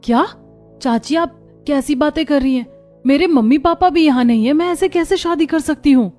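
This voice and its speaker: A woman's voice: sits high, moderate pace, mellow, faint music behind, lightly acted. A woman voicing a meek, wounded young bride in a Hindi cartoon story, plaintive and polite. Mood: timid, shocked.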